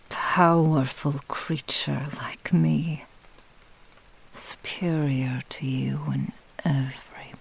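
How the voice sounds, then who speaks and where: A woman voicing a proud, possessive, seductive fox-spirit witch in a breathy whisper, with a grandiose, intimate manner, for an ASMR roleplay. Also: a bass voice, a little mellow, slight background noise, exaggerated voice, rough recording. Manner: slow, lingering, grandiose, intimate.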